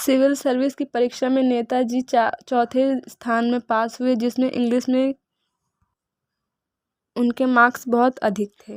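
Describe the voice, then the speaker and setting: A woman narrates from a script in a fairly high voice. Her delivery is earnest and very flat in melody, like a recitation. The sound is close and dry, with no room around it. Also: no echo. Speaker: narrator of an educational video. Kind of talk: scripted narration, read-aloud biographical essay.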